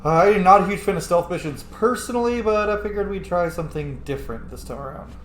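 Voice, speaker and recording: A man's voice: high voice, expressive, fast, roomy sound, a little hiss or hum. A man giving casual, playful gameplay commentary with jokey sing-song asides. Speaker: let's player.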